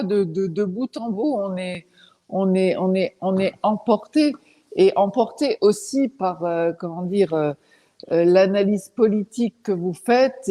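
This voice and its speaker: A male literary interviewer with a dark, mellow voice, recorded in a reverberant room. He speaks admiringly and falters as he searches for words. His sentences restart often. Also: high voice. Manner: admiring, hesitant, many fillers and restarts.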